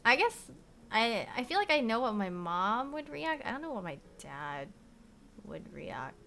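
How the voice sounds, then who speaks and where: A young woman born in Korea, chatting casually to stream viewers from her bedroom, rambling with lots of "like" and "you know" and trailing off softly. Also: a little high, big pitch swings, a little slow, a little hiss or hum. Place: bedroom at home.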